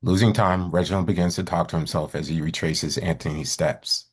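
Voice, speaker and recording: Very deep, husky man narrating a script. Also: steady tone, brisk. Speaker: actor reading stage directions. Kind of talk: scripted narration at a live table read.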